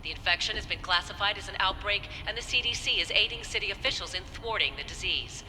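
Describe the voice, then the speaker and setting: Very bright, reverberant news reporter. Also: female voice, mid-range voice, a little hiss or hum. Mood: grave reporting. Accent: American. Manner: formal, measured. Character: composed, serious female TV news reporter. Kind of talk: formal TV news report in a video game. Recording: long reverb, faint music behind.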